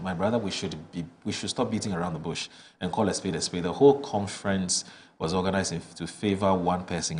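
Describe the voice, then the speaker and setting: A man's voice: low voice, a little hiss or hum. A man from northern Ghana with a Ghanaian English accent, hosting a morning TV show and reading viewers' messages aloud, with hesitant fillers and restarts. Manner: stumbling restarts and hesitant fillers between brisk read-outs. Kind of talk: reading listener texts on air, chatty asides. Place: television studio.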